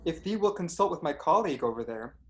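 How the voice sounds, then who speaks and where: Low-voiced, prim woman librarian. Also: expressive. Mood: prim, polite. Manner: measured, formal. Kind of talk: 1940s American radio drama acting.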